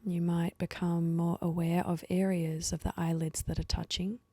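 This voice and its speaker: A woman leading a guided meditation with slow, soothing instructions and pauses, her voice soft and at times breathy. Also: fairly low pitch, level tone, no room sound.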